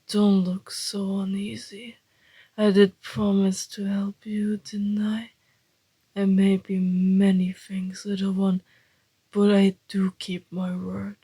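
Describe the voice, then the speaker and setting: A woman voicing a flirty, teasing male vampire in an intimate ASMR roleplay, speaking in a deliberate half-whisper. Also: medium pitch, mellow, husky, no echo, heavily acted. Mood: wistful, coaxing.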